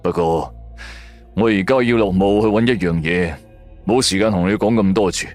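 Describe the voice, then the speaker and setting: A man voicing a menacing, unhurried old tomb hunter in a Cantonese radio drama, speaking slowly and with measured command. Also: low voice, faint music behind, voice pushed down, natural voice. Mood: solemn, commanding.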